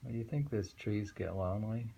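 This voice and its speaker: A middle-aged man reading poetry and commenting on it casually, musing in an unhurried way and repeating phrases. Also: lowish voice, vocal fry, mellow, husky, dull audio. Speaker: host reading and discussing poetry. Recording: home recording with faint background noise.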